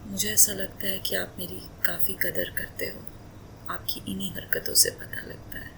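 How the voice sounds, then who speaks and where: A woman playing an affectionate, playful, devoted wife in an intimate romantic ASMR roleplay, speaking tenderly in a breathy half-whisper with light teasing. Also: mid-range voice, steady tone, normal speed, lightly acted. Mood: tender, grateful. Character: affectionate, playful, devoted Indian wife adoring her husband. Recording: faint background noise.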